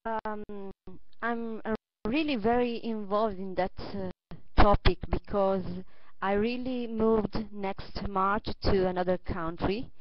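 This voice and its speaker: A woman's voice: mid-range voice, fairly even intonation, slow pace. An Italian-accented woman, a native Italian speaker, giving careful answers as a student in a language class. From Italy. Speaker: English student, accountant. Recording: dull, lo-fi online call audio.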